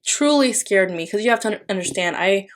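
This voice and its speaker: A woman's voice: medium pitch, lively intonation, fairly quick. A young woman from the Philippines whose first language is Tagalog, chatting casually in a voice-over while decorating a planner, rambling with many fillers and restarts. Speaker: planner vlogger.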